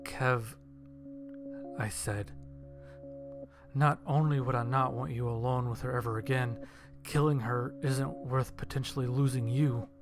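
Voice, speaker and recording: A man's voice: medium pitch, fairly even intonation, a little slow. A man narrating a scripted first-person story and acting out his own lines of dialogue. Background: background music.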